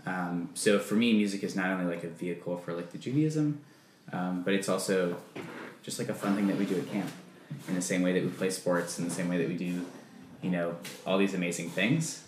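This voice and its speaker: Deep-voiced man, chatty, echoey room. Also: a little fast, noisy background. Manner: chatty, filler-heavy, says "um" and "like" often. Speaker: camp music leader. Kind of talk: interview answers.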